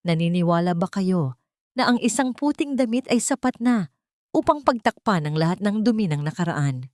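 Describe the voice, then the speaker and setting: A woman's voice: medium pitch, expressive, normal speed, darkish tone, dry recording, close to an everyday voice. Audio story role: mysterious, authoritative woman designer, voiced by a female narrator, formal, slightly bitter, close dry recording. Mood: bitter, challenging.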